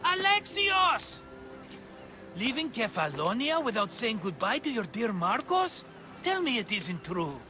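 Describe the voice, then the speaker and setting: An actor playing a jovial, scheming middle-aged rogue in a video game, with a theatrical, effusive manner. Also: male voice, very high-pitched, wildly swooping pitch, measured pace, sharp tone, faint music behind, heavily acted. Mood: mock-hurt, effusive. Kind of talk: cinematic video game acting.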